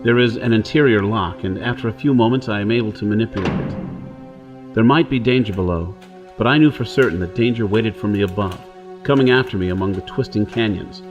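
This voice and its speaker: A man's voice: fairly low pitch, fairly quick, dull audio, over music, close to an everyday voice. An actor playing a rugged, wary Earth-born outlaw on Mars in an audio drama, intense and theatrical as he talks himself through things. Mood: tense narrating. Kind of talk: audio drama acting with first-person narration.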